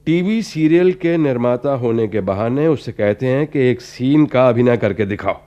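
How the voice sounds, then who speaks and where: An actor playing a self-assured film director in a Hindi radio play, lofty, persuasive and expository, mixing in English phrases. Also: male voice, medium pitch, animated, moderate pace, dark tone, highs cut off, lightly acted. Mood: earnest, persuasive.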